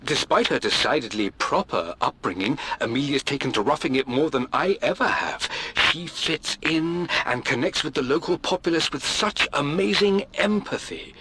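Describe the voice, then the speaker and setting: A man's voice: a little high, expressive, moderate pace, hardly any pauses, pushed and bright, slightly rough, full character voice, rough recording. An actor playing an eager, articulate British aristocratic archaeologist, narrating his journal fondly in a video game.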